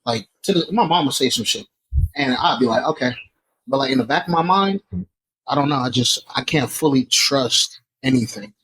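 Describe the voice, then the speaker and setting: A man's voice: medium pitch, animated, moderate pace, a bit bright, husky, rough recording. A man from Florida talking candidly on a podcast, reflective and casual, with some cursing. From Florida, USA. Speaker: podcast guest.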